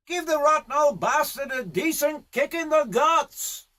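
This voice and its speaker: Man putting on a loud, high-pitched bush boss. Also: big pitch swings, takes it slowly, heavily acted. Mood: barking orders. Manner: loud, barking, swearing, blunt. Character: gruff, foul-mouthed Australian horse-riding boss, rough and goading.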